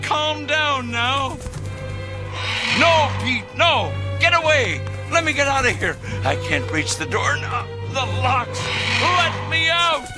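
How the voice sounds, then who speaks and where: A frantic, trapped man pleads in a radio drama. His voice is very high and swings wildly, with drawn-out words over loud music. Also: sing-song, heavily acted. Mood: panicked, pleading.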